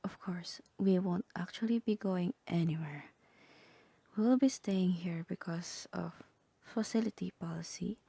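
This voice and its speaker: A woman voicing a calm, composed therapist in a soft, breathy, half-whispered ASMR roleplay, measured and clinical. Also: on the low side, no room sound, heavily acted. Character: calm, composed female therapist guiding a patient through roleplay.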